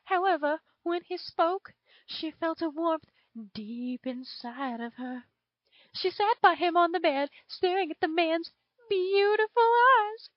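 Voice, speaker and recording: A woman's voice: very high-pitched, slow, no room sound, lo-fi sound. A woman voicing a drawling southern dragon. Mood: dramatic. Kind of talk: reading fan fiction aloud.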